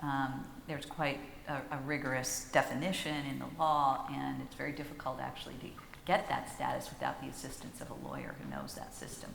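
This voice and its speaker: A woman speaking as a panelist, explaining policy in a measured way with frequent fillers. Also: extremely low pitch, animated, gravelly, a bit bright, slight background noise. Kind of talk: panel discussion. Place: large conference hall. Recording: roomy hall reverb, faint ambience.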